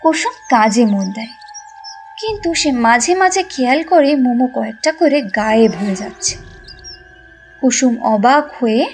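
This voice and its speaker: Lively high-voiced woman narrator. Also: moderate pace, faint music behind. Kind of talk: scripted storybook narration.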